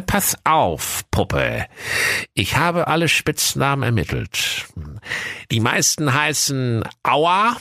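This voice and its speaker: A performer playing a gruff, dim retired male police inspector, ponderous and deadpan, in a comic fairy tale audio play. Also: medium pitch, no room sound, clean studio sound, exaggerated voice. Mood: gruff, matter-of-fact.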